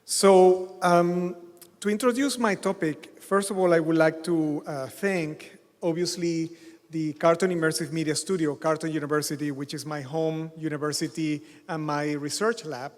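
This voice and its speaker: A middle-aged man giving a conference keynote, halting with many fillers. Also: a little high, fairly even intonation. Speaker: keynote speaker. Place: large hotel conference hall. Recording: long hall reverb.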